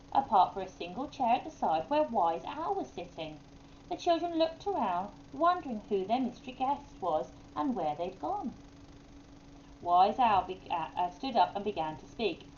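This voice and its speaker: A woman's voice: medium pitch, a little mellow, muffled recording, a little hiss or hum. A woman narrating a children's story expressively, as a primary school reader would.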